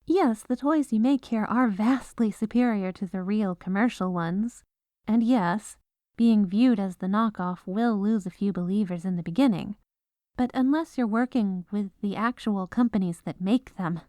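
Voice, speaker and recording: A woman's voice: medium pitch, normal speed, dark tone, no echo, clean studio sound, natural voice. A woman playing an exasperated, businesslike head lawyer at the North Pole in a scripted comedic audio roleplay. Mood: businesslike, explaining. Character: exasperated, dutiful head legal advisor at Santa's North Pole.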